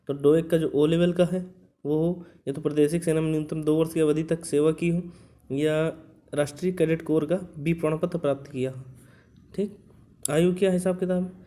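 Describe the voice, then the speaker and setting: Guy doing an exam coaching explainer, slightly high voice but relaxed and mellow, reads stuff out then explains it casually. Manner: conversational, checks in with repeated short tag words. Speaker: exam coaching instructor and channel host. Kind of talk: informal explanatory lesson, reading out a recruitment notice.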